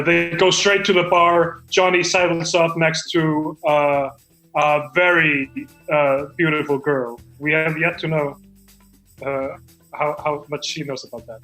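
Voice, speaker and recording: A man plays an excitable, pretentious amateur screenwriter in a live comedy reading. His voice sits high, and he stumbles and rambles self-importantly. Faint music runs behind him. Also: low-quality recording. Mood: halting, self-important. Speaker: screenwriter reading stage directions at a table read. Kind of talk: improvised comedy table read.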